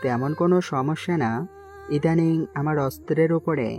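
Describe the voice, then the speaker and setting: A man playing a calm, calculating mafia king who gives measured orders in an audio story. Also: fairly high pitch, faint music behind, natural voice. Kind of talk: amateur Bengali audio-story acting.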